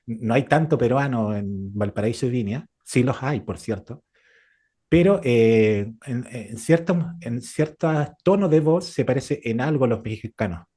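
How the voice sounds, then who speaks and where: A man's voice: medium pitch, expressive, measured pace, warm tone. A middle-aged man with a Chilean accent chatting reflectively as a co-host, explaining with examples and rhetorical questions. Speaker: podcast co-host. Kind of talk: casual reflective conversation.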